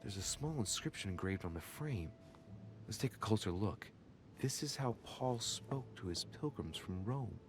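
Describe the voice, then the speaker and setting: An actor playing an inquisitive young man investigating a mystery in a video game, musing aloud in a deliberate, theatrical way. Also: on the low side, animated, a little hiss or hum. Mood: musing, curious. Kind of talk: dramatic game narration, thinking aloud.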